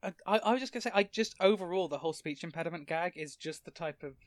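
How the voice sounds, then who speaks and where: Eager English man, higher voice. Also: quick pace, no room sound, clean studio sound. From England. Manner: enthusiastic, stumbling restarts. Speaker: podcast guest. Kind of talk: casual chat.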